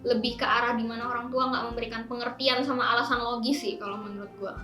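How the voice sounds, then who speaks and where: Perempuan 18 tahun, suara agak tinggi. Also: female voice, on the high side, fast. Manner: relaxed, thoughtful, slangy. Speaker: interviewee. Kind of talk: casual interview answers. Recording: roomy echo with music behind. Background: background music.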